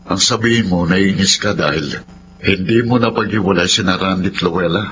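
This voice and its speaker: A man's voice: deep voice, moderate pace, close to an everyday voice. A man with a Filipino accent playing a persistent, needling young suitor in a radio drama. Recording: muffled, noisy radio drama audio.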